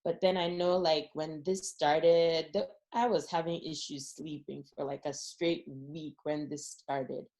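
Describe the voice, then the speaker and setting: Panelist, woman from Nigeria, low voice, loose casual delivery. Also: fairly even intonation, a little slow, few pauses, a little mellow. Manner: casual, with many "like" fillers. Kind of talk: panel discussion.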